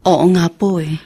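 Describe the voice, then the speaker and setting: A woman's voice: on the low side, gravelly, darkish tone, breathy edge, heavily acted. A woman playing a polite, deferential adult daughter in a radio drama. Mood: shocked.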